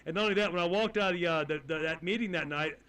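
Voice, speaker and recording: A man with a Texan accent running a ham radio net, folksy and halting, with many fillers. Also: high voice, pushed and bright, clean tone. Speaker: net control host.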